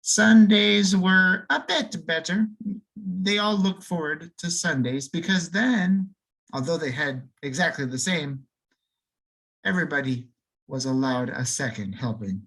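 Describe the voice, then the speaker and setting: A man reading a children's storybook aloud, slowing down and lingering on key words, with free swings in pitch and loudness for emphasis. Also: husky, poor audio. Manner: expressive, lingers and slows on key words for effect. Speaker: audiobook reader.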